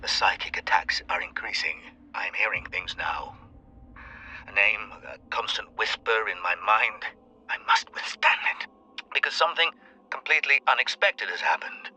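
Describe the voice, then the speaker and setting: An actor playing a proud, obsessive inquisitor psyker dictating a secret journal in a grave, deliberate, self-important manner, as a dramatic game audio log with a radio-like filter. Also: male voice, lowish voice, expressive, sharp tone, a little hiss or hum, rough recording. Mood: uneasy, haunted, then excited, fervent. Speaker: inquisitor recording research logs.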